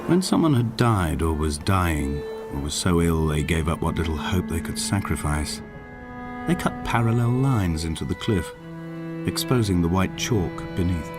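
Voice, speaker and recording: An actor playing a solitary, melancholy middle-aged man, narrating in a measured, solemn, literary style for a game. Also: sits low, lively intonation. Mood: weary, somber. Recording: music behind the narration. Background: clear background music.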